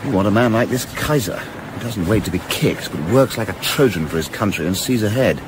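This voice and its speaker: A man acting an eager, patriotic young English gentleman yachtsman in a radio play, with a hearty but hesitant old-fashioned manner. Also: lowish voice, expressive, a little fast, lightly acted. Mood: earnest. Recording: clearly noisy outdoor effects behind voice.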